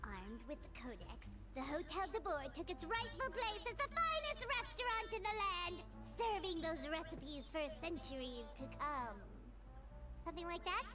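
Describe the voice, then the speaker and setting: Very high, chirpy little fairy girl. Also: expressive, moderate pace, distant mic. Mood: eager, curious. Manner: refers to self in third person, exclamatory. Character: tiny childlike female fairy companion, chirpy and curious. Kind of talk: video game voice acting. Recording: game music behind the voice.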